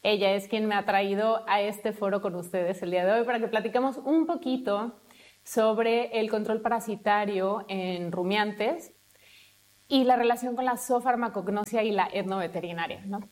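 A woman's voice: mid-range voice, moderate pace, a little mellow. A woman academic, explaining her research fluently. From Mexico. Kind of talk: presentation to camera.

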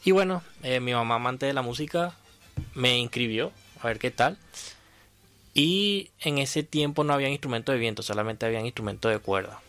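A man's voice: medium pitch, expressive, normal speed, a bit bright, clear, resonant tone. A man in his thirties with a Venezuelan Spanish accent, answering conversationally as an interview guest. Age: 30s. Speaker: interview guest, horn player.

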